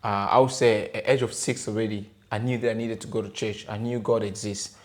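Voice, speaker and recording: A man's voice: fairly low pitch, flat delivery, fairly quick. A man in his thirties from the Democratic Republic of Congo, answering interview questions in a hesitant way, with many fillers and restarts. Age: 30s. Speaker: podcast guest, gospel rapper. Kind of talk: casual interview conversation.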